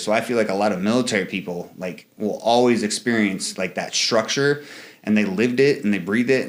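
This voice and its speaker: Rambling man with filler-heavy speech. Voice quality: fairly high voice for a man. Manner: rambling, many fillers and restarts, acts out quoted dialogue. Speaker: interview guest, Marine veteran. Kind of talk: casual interview talk.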